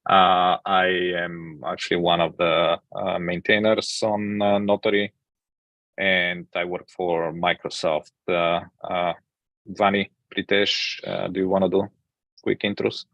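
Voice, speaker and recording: Male meeting host, low monotone, hesitant with fillers, dry muffled call sound. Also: no room sound. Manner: halting, many fillers. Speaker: meeting host, project maintainer. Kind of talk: casual online meeting chat. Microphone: computer mic on video call.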